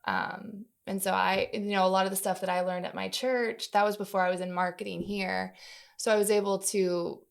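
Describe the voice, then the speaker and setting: Woman with creaky voice, chatting casually. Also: medium pitch, level tone, normal speed. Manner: informal, uses "like" often. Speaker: interview guest, marketing staffer. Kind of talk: casual conversational storytelling.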